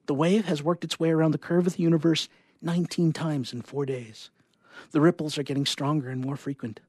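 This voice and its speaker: A man plays an earnest scientist husband in a dramatic story, grave yet tender and resolute. His voice is slightly high and husky, with a dry sound. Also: steady tone, brisk, darkish tone, no room sound, close to an everyday voice. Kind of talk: dramatic short story reading.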